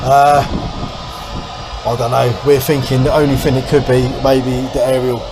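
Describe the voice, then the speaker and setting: British man vlogging over clear background noise. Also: little pitch movement, normal speed. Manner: informal, thinking aloud as he works. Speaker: host of a boat restoration vlog. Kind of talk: casual hands-on vlog commentary. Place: on a small boat. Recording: noisy ambient sound, engine-like rumble.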